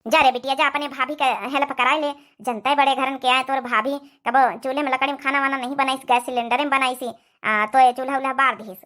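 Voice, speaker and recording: A woman voicing an Indian village family woman in a cartoon comedy drama, with an Awadhi accent and expressive rustic speech. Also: sits high, fast. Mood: bossy, affectionate. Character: village women of an Indian family: mothers-in-law and young daughter-in-law.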